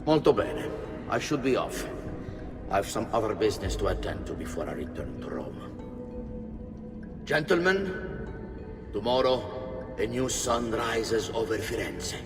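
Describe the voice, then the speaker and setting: A man's voice: lowish voice, very animated, unhurried, pauses often. A man playing a commanding, cunning Italian lord in a video game, with an Italian accent and stately delivery. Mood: solemn, satisfied. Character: imperious, cunning Italian lord leading a conspiracy. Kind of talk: video game cutscene acting. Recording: long echoing hall, music underneath.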